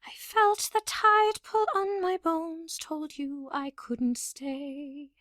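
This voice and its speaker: A woman singing a slow folk ballad. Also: very high-pitched, fairly even intonation, fairly bright tone, no room sound, studio quality. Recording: music behind the voice. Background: music.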